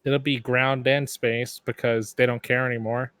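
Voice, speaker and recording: A man's voice: mid-range voice, flat delivery, moderate pace, fairly bright tone, clear, resonant tone, no echo, studio quality. A man bantering casually as a panelist on a gaming podcast.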